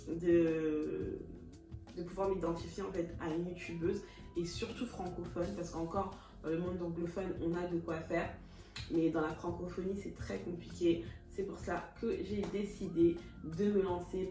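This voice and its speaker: A woman's voice: on the low side, recorded from a distance. A woman in her thirties from Kinshasa, introducing herself in a casual, chatty vlog, often laughing and slipping in English words. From Congo (Kinshasa). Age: 30s. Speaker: vlogger. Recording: echoey room, voice a bit off-mic, music behind.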